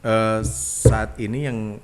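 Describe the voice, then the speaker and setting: A man hosting a podcast interview, unhurried, with many short agreeing hums. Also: lowish voice, flat delivery, a little hiss or hum. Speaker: podcast host. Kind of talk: casual interview.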